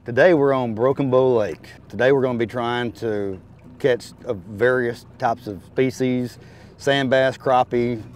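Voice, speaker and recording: A man's voice: mid-range voice, unhurried. A man casually explaining his plans as an angler. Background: plucked guitar music.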